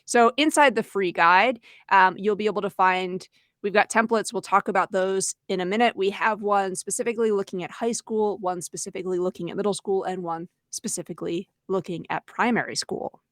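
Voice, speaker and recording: A woman's voice: mid-range voice, fairly even intonation, fairly quick, a bit bright, no room sound, studio quality. A woman co-hosting an education webinar, explaining ideas in a conversational way. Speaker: podcast co-host and education trainer.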